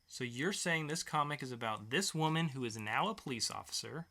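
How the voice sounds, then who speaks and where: A man's voice: medium pitch, lively intonation, fairly quick, bright, forceful tone. A man co-hosting a podcast with playful banter and mock game-show announcing. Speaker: podcast co-host.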